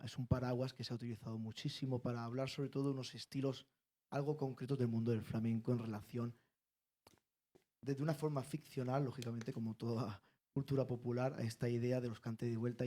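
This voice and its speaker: A man with a warm, mellow voice of middle pitch speaks at a public panel. His talk is intellectual and lecture-like, and he builds his arguments through emphatic repetition. He comes from the world of flamenco.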